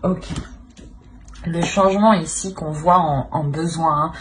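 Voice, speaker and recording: A woman's voice: sits low, steady tone, slow. A woman giving an unscripted tarot reading to viewers, feeling her way through the meaning by repeating and rephrasing. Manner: hesitant, searching, repeats and rephrases phrases. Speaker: tarot reader, channel host. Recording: clear background noise.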